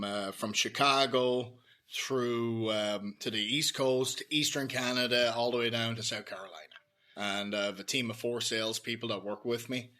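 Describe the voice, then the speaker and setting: A man's voice: mid-range voice, sharp tone. A middle-aged man, a sales director, chatting easily as a guest on a podcast. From Ireland. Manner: easygoing, chatty, detailed explanations. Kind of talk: casual podcast interview.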